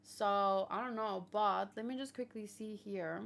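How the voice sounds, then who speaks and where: A woman hosting a read-along, chatting casually with viewers and thinking aloud, with hesitant pauses and musing hums. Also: mid-range voice, gravelly, fairly bright tone. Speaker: host of a manga read-along reaction show.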